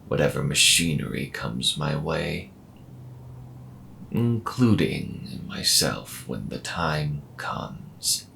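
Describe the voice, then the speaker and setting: A man playing a friendly, calm robot mechanic with dry humour and a measured, gently wry delivery in an audio roleplay. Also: extremely low pitch, gravelly, a little hiss or hum, exaggerated voice. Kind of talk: slow intimate audio roleplay acting.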